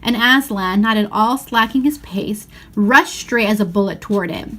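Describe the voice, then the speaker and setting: A woman reading a storybook aloud as narrator, with expressive, deliberate phrasing. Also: mid-range voice.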